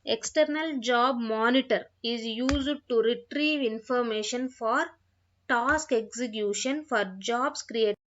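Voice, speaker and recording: A woman giving an instructional software tutorial, reading out points and repeating phrases as she explains them, on a dull, lo-fi microphone. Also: fairly high pitch, slow, a little hiss or hum. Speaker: software trainer.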